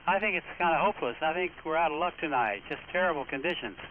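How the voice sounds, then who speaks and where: A man's voice: a little high, quick pace. An American man chatting casually as net controller in an amateur radio exchange. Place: voice heard over amateur radio link. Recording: tinny shortwave radio, clear static noise.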